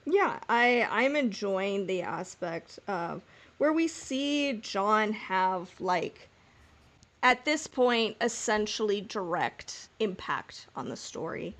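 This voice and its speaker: A woman's voice: medium pitch, animated, takes it slowly, a bit bright. A woman podcast co-host chatting casually in a playful, informal way about something she follows as a fan.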